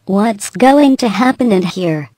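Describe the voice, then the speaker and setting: A woman's voice: mid-range voice, animated, slow, a crowd in the background. Wry, dry-spoken woman, played by an actress. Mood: curious. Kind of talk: parody radio drama.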